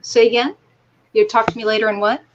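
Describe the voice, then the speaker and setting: A woman's voice: on the high side, flat delivery, a little fast, background noise. A woman speaking casually and conversationally as a guest on an online talk show. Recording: dull, lo-fi call audio.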